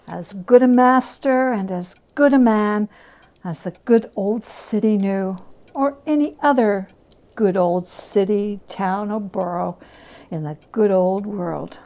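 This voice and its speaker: A woman reading a story aloud as a dramatic narration, expressive and deliberate. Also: medium pitch. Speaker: story reader.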